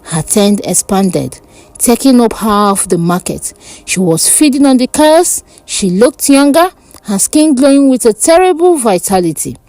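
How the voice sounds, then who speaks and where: A woman narrating a dark fantasy folk tale in a dramatic, deliberate way. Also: fairly high pitch, very animated, no echo. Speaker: storyteller. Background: background music.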